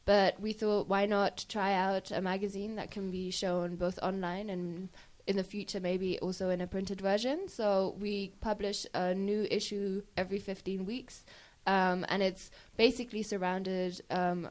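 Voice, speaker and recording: A woman's voice: mid-range voice, level tone, normal speed, darkish tone. Young woman from Germany being interviewed. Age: young adult. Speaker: student magazine editor, interview guest. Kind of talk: casual interview answers.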